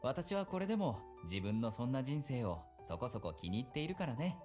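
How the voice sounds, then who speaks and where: Man voicing a lively, wry game policeman. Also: medium pitch, rapid-fire, faint music behind, natural voice. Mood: warm. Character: easygoing grown male island policeman, teasing mentor. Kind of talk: Japanese visual novel voice acting.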